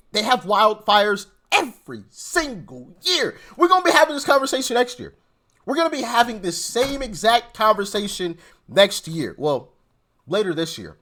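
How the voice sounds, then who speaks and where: A man's voice: high voice, sharp tone. A man hosting a casual political commentary show, speaking emphatically and sarcastically and often repeating himself for effect.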